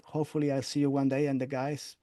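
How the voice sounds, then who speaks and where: A man's voice: medium pitch, monotone, fast talker, dark tone, no room sound. An older man with a Spanish accent hosting a casual interview, rambling in a self-deprecating, profane way. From Spain. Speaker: interview show host. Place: indoor room on a video call.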